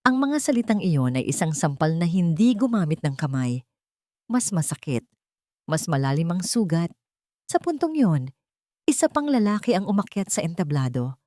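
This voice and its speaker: A woman with a Filipino accent narrating a scripted dramatic story in the first person, in a measured, emotive way. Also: fairly low pitch, big pitch swings, mellow, dry recording, clean studio sound.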